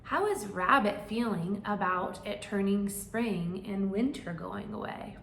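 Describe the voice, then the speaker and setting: A woman's voice: mid-range voice, unhurried, creaky, fairly bright tone, echoey room, slight background noise. A woman doing a read-aloud for young children, explaining simply and prompting them with questions.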